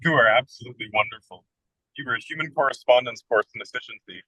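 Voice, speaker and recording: A whimsical young American writer in a radio comedy, acted by a man. The voice is very bright and forward, recorded dry and close. He talks in wry, theatrical flourishes and happily pokes fun at himself. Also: mid-range voice, fast, breathy edge, no room sound, poor audio. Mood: admiring, restless. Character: self-deprecating, whimsical American male writer of twenty-six. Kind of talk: radio play comedy dialogue.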